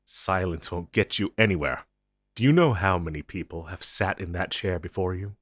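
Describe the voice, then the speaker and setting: Male actor as stern, distrustful agent for superhero audio drama: very low voice, slow and deliberate, cold sarcasm, close dry sound. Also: fairly bright tone, no echo, heavily acted. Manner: deliberate, cold, sardonic, with sudden emphatic swells. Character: menacing, suspicious male government agent interrogating a recruit. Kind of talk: dramatic audio roleplay monologue.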